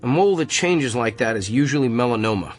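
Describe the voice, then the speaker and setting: A man's voice: medium pitch, animated, quick pace, soft background music, close to an everyday voice. An actor playing a blunt, tactless male doctor in a radio drama, speaking in a detached, matter-of-fact way. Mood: detached, clinical.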